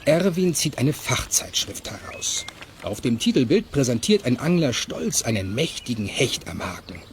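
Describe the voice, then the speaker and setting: A man's voice: mid-range voice, sing-song, normal speed, noisy background. A man narrating a scripted children's radio play as its storyteller.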